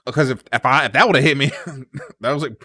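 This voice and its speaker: A young man chats casually on a podcast, wandering through his stories with plenty of fillers and restarts. His tone is bright and forward. The sound is dry and close to the mic. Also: medium pitch, a little fast, slightly rough, no room sound. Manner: rambling storyteller, many fillers and restarts. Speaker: podcast co-host. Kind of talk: casual chat.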